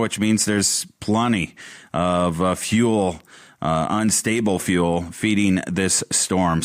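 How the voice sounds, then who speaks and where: A man hosting live radio storm coverage, ad-libbing in a halting, urgent way. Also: lowish voice. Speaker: radio host covering severe weather.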